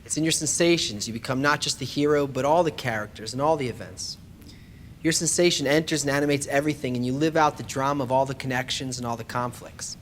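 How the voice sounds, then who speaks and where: A man's voice: medium pitch, fast, a little hiss or hum. A man giving an explanatory spiritual lesson in a conversational but scripted way, speaking directly to the listener and posing rhetorical questions. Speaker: lecturer. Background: background music.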